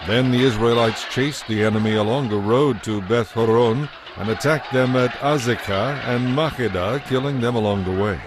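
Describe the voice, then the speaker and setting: A man narrating scripture from a script in a measured, solemn, dramatic way. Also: mid-range voice, noisy background. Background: music.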